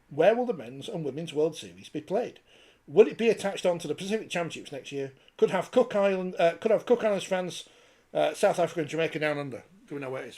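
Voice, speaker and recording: Fast, husky-voiced male host. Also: a little high, darkish tone. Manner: teasing, quick, reads viewer questions. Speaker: show host. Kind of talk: casual panel chat.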